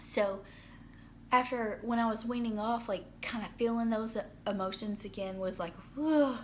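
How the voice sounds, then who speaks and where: Hoarse young woman vlogging casually. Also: fairly high pitch, normal speed, a little hiss or hum. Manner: rambling, lots of ums and restarts. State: hoarse from illness. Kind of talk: casual personal update to camera. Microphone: phone propped up.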